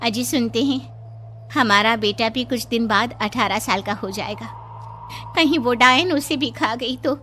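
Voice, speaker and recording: A woman's voice: on the high side, a little slow, sharp tone, faint music behind. A woman playing a fearful, fretting village wife and mother in a dramatized story. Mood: worried. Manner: dramatic, fretful. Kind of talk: Hindi audio story acting.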